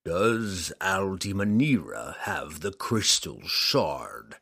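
Guy doing a deep slow growly demon lord, husky and mean, audiobook voice. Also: expressive, bright, forceful tone, exaggerated voice. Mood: menacing. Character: cruel hulking male demon lord. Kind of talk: audiobook character voice.

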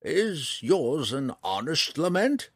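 A kindly, wise old ranger as a male audiobook narrator plays him. He speaks slowly and musingly, with big swings in pitch. The voice is husky and closely recorded. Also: sing-song, dry recording, studio quality, heavily acted. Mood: wise, encouraging. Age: older. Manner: slow, measured, musing delivery. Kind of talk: audiobook character voice.

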